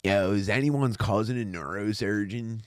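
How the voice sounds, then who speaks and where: A man's voice: fairly low pitch, normal speed, no echo, studio quality. A man joking in profane slang and reading old posts aloud in a casual way. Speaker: narrator in an audiobook session.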